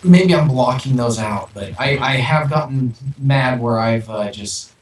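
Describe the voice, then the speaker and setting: A man co-hosting a podcast in casual chat, speaking in a hesitant, halting way. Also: mid-range voice. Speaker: podcast co-host. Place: echoey room on online call. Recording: echoey, distant, noisy remote audio.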